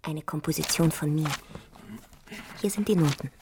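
An actress playing a gracious, intense, seductive hostess in a satirical radio play. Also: female voice, sits low, normal speed, mellow, a little hiss or hum. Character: alluring, intense hostess, gracious and fatefully passionate.

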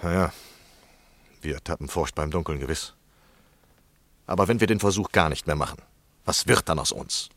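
A man playing a courteous, eager but evasive gentleman journalist in a radio play, speaking politely and carefully. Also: a bass voice, moderate pace, pauses often, no room sound, full character voice. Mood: polite, earnest. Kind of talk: 1960s German radio play acting.

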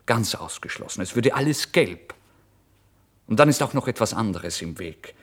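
A man voicing a gruff, irritable painter who blusters impatiently at a late visitor in an audiobook. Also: on the low side, expressive, normal speed, pitched above the performer's own voice. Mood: dismissive. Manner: blustering, impatient, hesitant starts.

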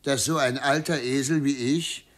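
A man acting an old governor in a radio play. He is genial and self-mocking, musing in a mid-pitched voice with the good humour of a doting father. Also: steady tone, moderate pace, close to an everyday voice. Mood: amused musing. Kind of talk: radio drama dialogue.

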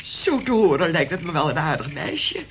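A woman's voice: deep voice, very animated, moderate pace. A woman playing a pious, fretful mother of a grown son in a Dutch radio play, plaintive and hesitant. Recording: noisy old radio play recording.

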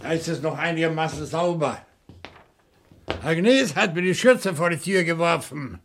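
A man's voice: on the high side, a little slow, a bit bright, a little hiss or hum. An actor playing a dignified, wary professor in his seventies, speaking formal, articulate German radio-drama lines. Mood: friendly, courteous. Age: 70s. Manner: articulate, formal, indignant pride. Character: dignified, wary seventy-year-old French professor living among books.

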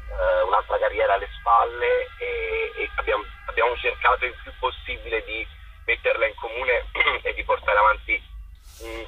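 Bright, low-pitched young man. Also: slightly rough, highs cut off. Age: young adult. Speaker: theatre maker being interviewed. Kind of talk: explanatory interview answers.

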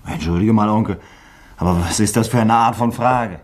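An actor playing a puzzled, casual nephew with a questioning manner, in 1960s German radio drama style. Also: male voice, lowish voice, normal speed, close to an everyday voice. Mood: bemused. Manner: bemused, questioning.

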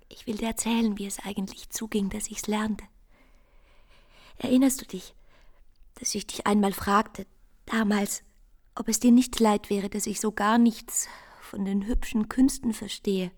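An actress playing a tender, anxious young bride in a literary radio drama, speaking intimately and often in a breathy hush. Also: female voice, medium pitch. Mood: shy confiding.

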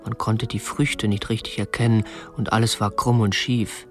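An actor playing a meek, obsessive young kidnapper who narrates a radio play in a restrained, earnest, stiffly polite way. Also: male voice, on the low side, level tone, moderate pace, over music, natural voice. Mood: stiff, confessional. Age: young adult. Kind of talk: radio drama narration and dialogue.

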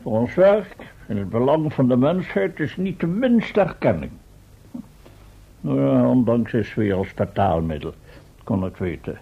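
Muffled radio drama, a gravelly husky professor, touchy and self-important, stiff. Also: male voice, medium pitch, sing-song, measured pace, exaggerated voice. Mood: indignant. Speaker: radio play actor. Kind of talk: old Dutch radio play acting. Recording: muffled old radio recording.

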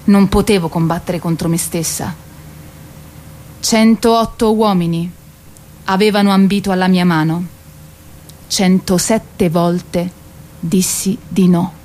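A woman's voice: on the low side, fairly even intonation, takes it slowly, pauses often, a little mellow. An actress playing a proud, weary queen of Greek myth who waits, in a declamatory, ironic radio drama monologue. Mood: confessional. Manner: declamatory, ironic, lingering on repeated words.

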